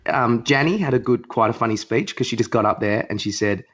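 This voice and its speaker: A man's voice: mid-range voice, a little fast, fairly bright tone, husky, highs cut off. A man co-hosting a podcast in casual chat, talking in a rambling, irreverent way. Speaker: podcast co-host.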